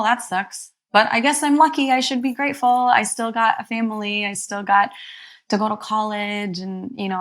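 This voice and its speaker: A young adult woman therapist, born in Egypt, speaking slowly and reflectively as an interview guest, pausing often with soft ums. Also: on the low side, vocal fry. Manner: soft, thoughtful, many pauses and ums.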